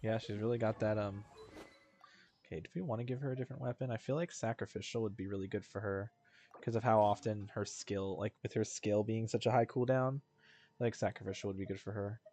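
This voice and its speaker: A man doing casual, informal gameplay commentary on a livestream, thinking out loud and swearing freely. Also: lowish voice, fairly even intonation, brisk, slight background noise. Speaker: game streamer. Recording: game music behind the voice. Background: video game music.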